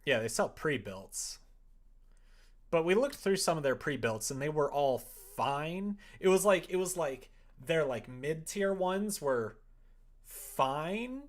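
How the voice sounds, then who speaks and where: Casual livestream host, mid-pitched and very animated, informal, conversational delivery. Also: takes it slowly. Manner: informal, animated, quoting imagined exchanges. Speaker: streamer chatting while making a visual novel.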